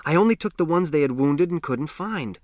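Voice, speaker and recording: A man's voice: on the high side, lively intonation, fairly quick, a little mellow, no echo, studio quality, pitched above the performer's own voice, close to an everyday voice. A man voicing an earnest, self-reliant teenage boy in an audiobook. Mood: indignant.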